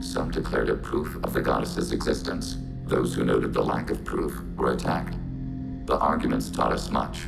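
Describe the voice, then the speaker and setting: A synthetic machine mind from a video game, extremely low and heavily gravelly. It speaks in a calm, clipped, literal way, as coldly logical as a computer, through robotic processing. Loud music plays behind it. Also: male voice, exaggerated voice, rough recording. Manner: flat, clipped, literal, analytical.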